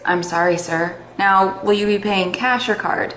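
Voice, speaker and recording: A woman's voice: medium pitch, normal speed, fairly bright tone, natural voice. Woman as polite pharmacy clerk, echoey. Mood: polite, unbothered. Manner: customer-service politeness. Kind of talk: POV comedy skit. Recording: roomy echo, background noise.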